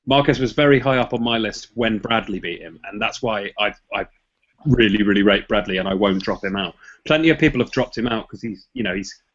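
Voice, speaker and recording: A man's voice: fairly low pitch, fairly quick, fairly bright tone, dull audio. A man hosting a casual podcast chat, laughing often and hedging his points. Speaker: podcast host. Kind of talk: casual chat about boxing rankings.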